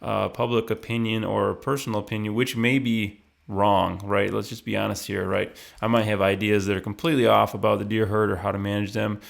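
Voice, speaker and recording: Low gravelly guy hosting a hunting show, long rambly questions, lots of you know and uh. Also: flat delivery, fairly quick, hardly any pauses. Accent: Michigan. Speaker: podcast host interviewer. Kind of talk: conversational interview.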